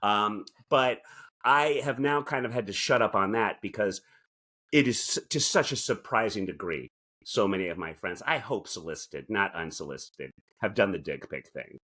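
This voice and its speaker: A middle-aged man co-hosting a podcast in casual comedic chat, rambling and joking with halting asides. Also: lowish voice, expressive, bright, forceful tone. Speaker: podcast co-host.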